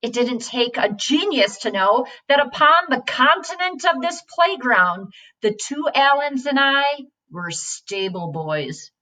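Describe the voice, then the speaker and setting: A woman reading a story aloud with expressive, deliberate phrasing. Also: high-pitched. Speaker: story reader. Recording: distant from the microphone.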